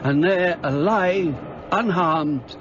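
A man's voice: on the high side, slow. An actor playing a British doctor who narrates his detective friend's adventure in formal, vivid radio drama style. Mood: gripping, dramatic. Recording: dull sound, clear background noise.

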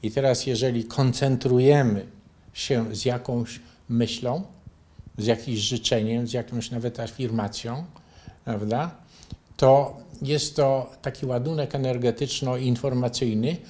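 An older man from Poland speaks as an interview guest. His pitch sits in an ordinary middle range for a man. He explains his points in a measured way, like a teacher setting out definitions. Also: fairly even intonation, darkish tone. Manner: measured, didactic. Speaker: interview guest, bioenergy therapy teacher and author. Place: furnished living room, indoors.

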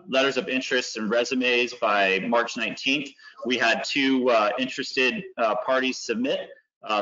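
A man from the district staff explaining a process at an online board meeting, halting and full of ums. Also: mid-range voice, pushed and bright. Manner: halting, many ums and uhs. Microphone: video call. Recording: dull lo-fi video call audio.

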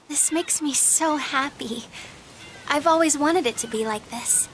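A woman playing a sweet, earnest, lovestruck teenage girl in a video game, gentle yet forthright. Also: high-pitched, lively intonation, slow, a little hiss or hum, exaggerated voice, low-quality recording. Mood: happy, tender. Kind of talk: video game cutscene acting.

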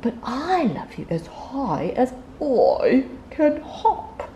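Woman as a big daddy hare, storybook, patient, playful, drawn-out emphatic words, mellow, noisy background. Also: sits high, sing-song, voice pushed up, full character voice. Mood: playful, loving. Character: big grown male hare, tender, patient, playful parent. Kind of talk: children's picture-book read-aloud.

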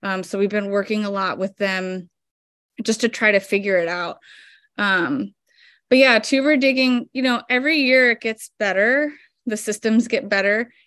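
A woman's voice: medium pitch, normal speed, fairly bright tone, no echo, studio quality. A woman in her thirties, a flower farmer guest explaining her work step by step with lots of fillers, over a video call from home. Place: home room indoors. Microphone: video call webcam.

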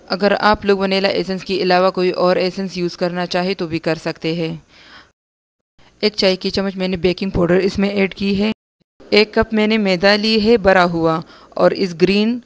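A young woman host giving a calm, step-by-step recipe voice-over for her home cooking channel. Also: on the low side. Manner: calm, instructive, repeats steps plainly.

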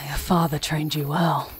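A woman's voice: sits low, level tone, takes it slowly, slight background noise. A woman playing a cocky, teasing pack leader in an audio roleplay, with a brash, casual manner. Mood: amused, approving.

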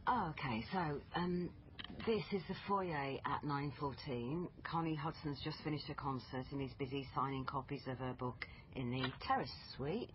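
A woman's voice: a bass voice, moderate pace. A woman playing a dogged, questioning British police liaison officer in a naturalistic radio drama, probing and thinking aloud. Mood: puzzled, thoughtful. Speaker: actor in radio crime drama. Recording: muffled broadcast with clear background noise.

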